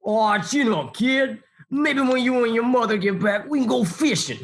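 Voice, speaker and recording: A man's voice: very high-pitched, moderate pace, fairly bright tone. A man playing a kindly, folksy grandfather in an old-fashioned radio serial. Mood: warm encouraging.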